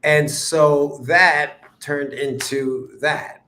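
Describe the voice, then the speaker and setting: A man from Ecuador, a guest cartoonist, chatting casually about how he came up with a character, rambling and trailing off softly. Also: a little high, steady tone, extremely slow, reverberant. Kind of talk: casual interview chat. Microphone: remote livestream call.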